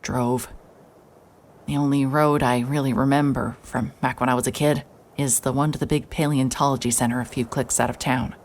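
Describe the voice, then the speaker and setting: A woman's voice: very deep voice, steady tone, moderate pace, a little mellow. A woman playing a hard-hearted, sleep-deprived private investigator, wry and terse, narrating a noir audio drama in the first person. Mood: weary, brooding. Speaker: narrator and lead character.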